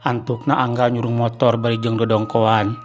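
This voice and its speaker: A man's voice: flat delivery, normal speed, soft background music. Sundanese man telling a dramatic radio tale. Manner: dramatic, rising pitch on suspense. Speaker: storyteller.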